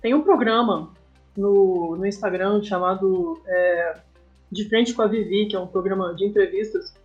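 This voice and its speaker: A woman's voice: medium pitch, normal speed, a little mellow, dull audio, faint music behind. A woman with a Brazilian Portuguese accent talking casually and informally as a podcast guest, telling stories with plenty of slang. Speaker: guest, comic artist.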